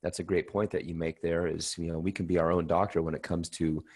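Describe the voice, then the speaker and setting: A man's voice: sits low, flat delivery, quick pace, darkish tone, husky. A man calling in to a radio chat, talking in a conversational, reflective way. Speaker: caller.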